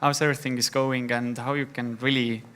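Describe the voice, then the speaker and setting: A young man from Estonia telling his founder story in a plain, slightly halting way on a conference stage. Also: mid-range voice, little pitch movement, a bit bright, clear, resonant tone. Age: young adult. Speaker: startup founder giving a talk. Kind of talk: conference talk.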